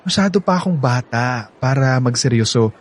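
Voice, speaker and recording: Man acting cocky young playboy Percy, mid-pitched. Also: animated, lightly acted. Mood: nonchalant. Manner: teasing, smug, drawn-out playful delivery. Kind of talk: radio drama acting.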